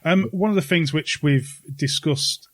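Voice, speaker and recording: A man's voice: fairly high pitch, measured pace. A man hosting a podcast in casual conversation, with a chummy, enthusiastic way of talking. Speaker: podcast host. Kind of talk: casual chat.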